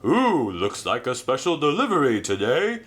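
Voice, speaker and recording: A man's voice: medium pitch, normal speed, pitched above the performer's own voice. A man voicing a polite, easygoing male scrapyard crane in a storybook reading. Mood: calm. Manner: calm and apologetic.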